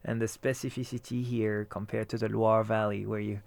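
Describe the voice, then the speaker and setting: A man hosting a radio interview, asking questions in a halting way with restarts. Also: on the low side, level tone, gravelly, darkish tone, dry recording. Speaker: radio host.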